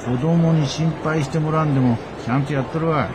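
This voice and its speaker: An actor playing a gruff, good-natured middle-aged father who repairs bicycles, grumbling and blustering with a Kansai accent in a radio drama. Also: a little high, fairly even intonation, fairly quick, background noise, natural voice.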